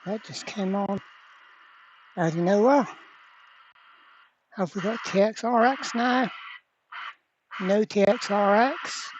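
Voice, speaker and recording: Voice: mid-range voice, darkish tone, highs cut off, slight background noise. A repair vlogger giving casual, hands-on narration, thinking aloud in a blunt, exasperated way. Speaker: host of a radio repair channel.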